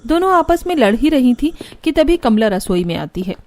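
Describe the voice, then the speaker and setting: A woman's voice: high-pitched, very animated, normal speed, a little mellow. A woman giving scripted narration for a cartoon family story.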